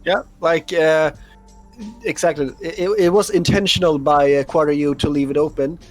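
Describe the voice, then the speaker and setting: A man's voice: fairly high pitch, fairly even intonation, moderate pace, soft background music. A man from Sweden co-casting a live strategy game, hesitant with lots of fillers. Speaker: esports co-caster.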